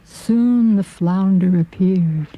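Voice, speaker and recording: A woman's voice: fairly low pitch, slow, mellow, faint music behind, rough recording. A woman narrating a children's folk story in a scripted storytelling style.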